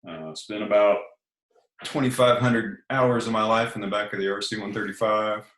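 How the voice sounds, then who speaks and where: A man in his forties speaks as an interview guest in a very low, deep monotone. His delivery is relaxed and hesitant, full of fillers. The sound carries a roomy echo. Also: normal speed. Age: 40s. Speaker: guest, Air Force officer and author. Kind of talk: casual interview chat.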